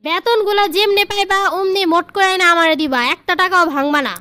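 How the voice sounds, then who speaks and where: A woman acts out a domineering young cartoon wife with a Bangladeshi accent and an extremely high, bright voice. She nags and commands at a quick clip, grasping for money, in a dry close recording. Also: no room sound. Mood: bossy, scolding. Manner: commanding, nagging. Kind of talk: comedy cartoon dialogue.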